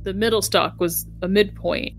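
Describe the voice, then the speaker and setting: A woman's voice: mid-range voice, fairly even intonation, normal speed, faint music behind. A woman playing a tabletop game, chatting casually and explaining her ideas as she thinks aloud. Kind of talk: casual group game chat.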